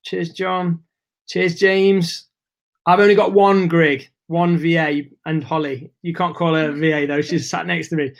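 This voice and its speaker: A man's voice: a little high, level tone, unhurried, gravelly. A man hosting a live webinar, chatty and informal, losing his voice. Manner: chatty, informal, reads and answers chat messages. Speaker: webinar host.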